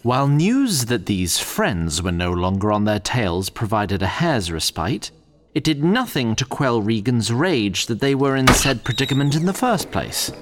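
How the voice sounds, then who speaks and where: A man's voice: mid-range voice, sing-song, measured pace. A man narrating a fantasy audio drama in a scripted storytelling style.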